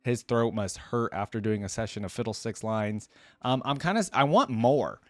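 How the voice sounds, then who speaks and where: A man's voice: lowish voice, moderate pace, fairly bright tone, no room sound. A man hosting a reaction video, giving casual, unscripted commentary to viewers in a rambling, conversational way.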